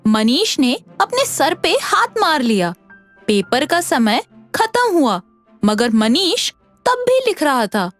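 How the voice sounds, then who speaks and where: Woman, very high pitch, animated delivery, slow pace, scripted storytelling, dry close sound. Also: faint music behind. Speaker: story narrator.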